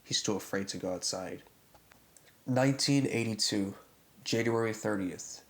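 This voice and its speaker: A man narrating a scripted horror story recap in a deliberate way, reading out events in short phrases with a gravelly, creaky voice. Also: on the low side, fairly bright tone.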